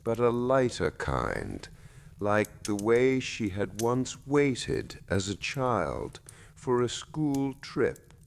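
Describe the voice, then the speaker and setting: A man reading a bedtime story in a gentle, measured, soothing way with deliberate pauses. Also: medium pitch, a little hiss or hum. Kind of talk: slow soothing scripted narration.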